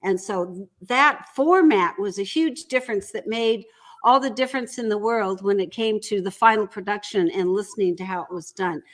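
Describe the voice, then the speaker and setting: A woman speaking as an interview guest, explaining in a measured, deliberate way and repeating points for emphasis. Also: medium pitch. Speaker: audiobook producer and guest. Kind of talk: conversational explaining.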